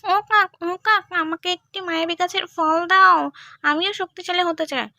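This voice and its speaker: Woman doing a shrill, greedy little boy. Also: fairly even intonation, moderate pace, sharp tone, dry recording, studio quality, full character voice. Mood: demanding. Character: greedy, sly, pushy young village boy. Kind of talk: cartoon story acting.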